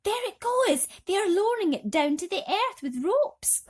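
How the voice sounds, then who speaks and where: A woman voicing an alert, earnest young boy in a storybook, speaking with hushed urgency. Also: extremely high pitch, very animated, moderate pace, voice pushed up. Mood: amazed.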